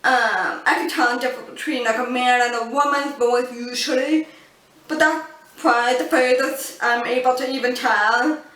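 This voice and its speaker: A woman in her twenties with a deaf accent, chatting slowly to camera and stressing her sounds strongly with an open mouth. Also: sits high, sharp tone, distant mic. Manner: slow, earnest, with frequent ums. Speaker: vlogger. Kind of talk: casual storytelling to camera. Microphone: built-in camera mic. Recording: roomy, off-mic camera audio.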